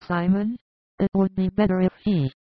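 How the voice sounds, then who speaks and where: A man's voice: high-pitched, little pitch movement, normal speed, no echo, faint music behind, pitched above the performer's own voice, natural voice. A man voicing a frail sick old woman. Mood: doubtful. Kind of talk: audiobook character voice. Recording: dry, tinny phone-like sound.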